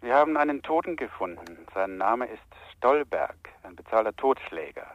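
A man playing a sly policeman, lively intonation, muffled. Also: on the low side, normal speed, dry recording, natural voice. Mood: sly, insinuating. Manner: insinuating, pointed questioning. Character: sly, needling policeman, falsely collegial, quietly menacing. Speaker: radio drama actor. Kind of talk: radio crime drama acting.

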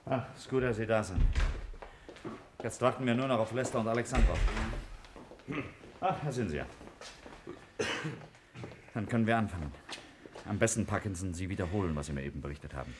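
An actor playing a stubborn, logical, commanding scientist in a 1950s German radio drama, brisk and authoritative. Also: male voice, lowish voice, natural voice. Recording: noisy 1950s radio recording.

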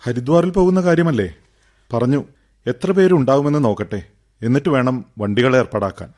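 A man's voice: medium pitch, brisk, darkish tone, faint music behind, natural voice. A man plays an adult son in a radio drama, dutiful and practical. His mid-range voice is matter-of-fact and deferential.

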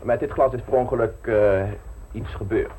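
An actor in a radio play, playing a calm, calculating blackmailer who speaks in cool, cutting phrases. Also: male voice, mid-range voice, normal speed, slight background noise, lightly acted, rough recording. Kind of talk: radio drama acting.

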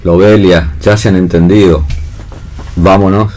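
A man's voice: deep voice, fairly even intonation, unhurried, warm tone. A man playing a tormented, lovelorn romantic in a read radio play. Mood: resigned.

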